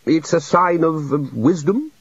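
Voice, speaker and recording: A bluff, bossy old wizard chief who cuts people off and gives orders briskly, as a male narrator plays him. His voice is a touch husky and the recording sounds dry. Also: fairly high pitch, dry recording, muffled recording, voice pushed up. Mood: puzzled. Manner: brusque, commanding.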